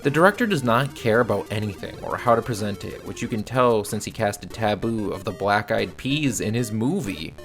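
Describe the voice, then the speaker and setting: A man giving scripted film review commentary in a blunt, exasperated, sardonic way, with a gravelly creak. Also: fairly low pitch, a bit bright. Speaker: video reviewer.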